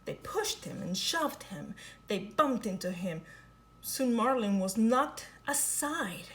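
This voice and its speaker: A woman reads a children's picture book aloud, her higher voice swooping up and down in a lively sing-song. She takes her time over every line, drawing out the telling. Soft music plays faintly behind her. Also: slow pace, slight background noise. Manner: expressive, sing-song storytelling. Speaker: storybook reader.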